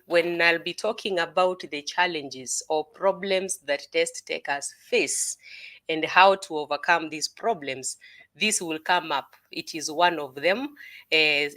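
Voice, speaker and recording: A young woman talks through an exam as a tutor, her voice bright and forward, sitting a little low for a woman. She thinks aloud as she goes, filling pauses with "uh" and "you know", restarting phrases, and checking in with "okay?". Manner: frequent "uh" and "you know" fillers, repeats words, checks with "okay?". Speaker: IELTS tutor. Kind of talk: unscripted teaching explanation.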